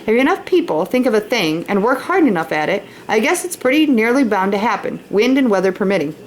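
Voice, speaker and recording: A woman's voice: mid-range voice, big pitch swings, fairly quick, slight background noise, natural voice, poor audio. Woman reading a plainspoken, kindly pioneer father. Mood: patient. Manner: plainspoken, encouraging.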